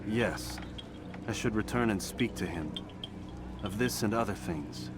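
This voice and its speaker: An actor playing a calm, brooding young male assassin who speaks in a measured, reserved way. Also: fairly low pitch, heavy background noise, natural voice. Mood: troubled. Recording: game audio with loud music.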